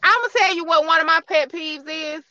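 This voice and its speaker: A woman's voice: very high-pitched, a little fast, sharp tone, no room sound, dull audio. A woman hosting a casual podcast interview in informal, slangy, joking talk. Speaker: podcast host.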